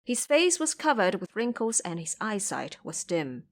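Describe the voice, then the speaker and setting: A woman's voice: mid-range voice, sing-song, moderate pace. A woman reading scripted narration for an audio drama as its storyteller.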